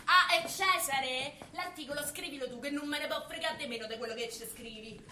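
A brash, bossy starlet, played by a stage actress, has a very high, sharp voice. She is pushy and excitable in theatrical comedy style, in a very echoey hall. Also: fairly quick, a little hiss or hum. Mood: bossy, sardonic. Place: theatre stage, live performance.

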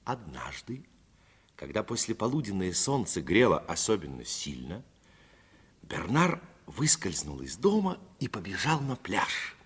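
A man narrating a 1950s radio drama as a storyteller, phrasing expressively and deliberately with dramatic pauses. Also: medium pitch, breathy edge, full character voice. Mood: suspenseful. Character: male storyteller fond of the coast and its people. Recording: 1950s radio recording, faint music behind.

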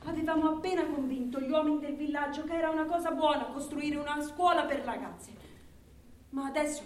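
A woman's voice: high-pitched, steady tone, brisk, a little hiss or hum, lightly acted. An actress playing a defiant Afghan mother in a stage drama, speaking in an emphatic, challenging way. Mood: anguished. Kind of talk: theatrical stage drama acting. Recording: echoey distant stage recording with music.

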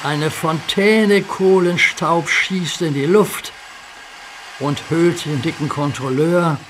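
A man narrating a children's radio play in a measured storytelling manner. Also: a little high. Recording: noisy soundtrack recording.

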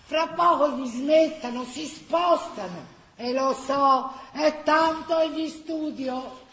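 An actor playing a gentle, resigned old man in a radio play, coaxing and placating. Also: high voice, slow, roomy sound, heavily acted, poor audio. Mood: cautious, patient. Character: gentle, patient, resigned old man giving cautious advice.

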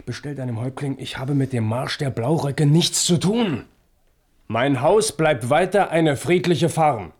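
An actor playing an earnest, wary frontier farmer in a German radio play, speaking in a measured manner. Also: male voice, a little high, animated, darkish tone, slightly rough. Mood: firm.

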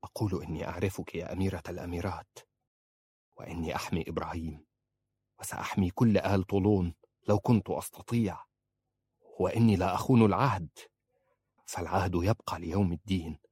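A man voicing a gentle, loyal young man in an audiobook, speaking softly and hushed. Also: sits low, steady tone, fast talker, long pauses, breathy edge. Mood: tender. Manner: soft and hushed.

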